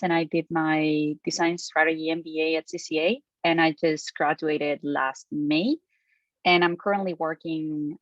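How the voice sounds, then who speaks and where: A young woman speaks casually as a panelist. Her voice is low, and the video-call sound is dry and a little dull. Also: no room sound. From Chile. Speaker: alumni panelist. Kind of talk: casual self-introduction.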